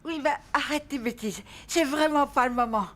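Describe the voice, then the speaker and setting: A woman's voice: high-pitched, normal speed, a bit bright, slight background noise. A woman voicing a busy, no-nonsense mother in a French cartoon, curt and scolding. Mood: irritated.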